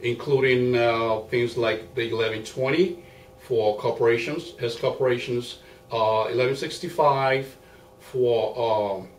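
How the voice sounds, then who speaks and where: A man's voice: medium pitch, fairly even intonation. Liberian man answering formally, off-mic. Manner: measured, formal, many fillers. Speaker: guest, accountant and business owner. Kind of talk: interview answers. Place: small makeshift home studio. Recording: roomy, distant mic with faint hiss.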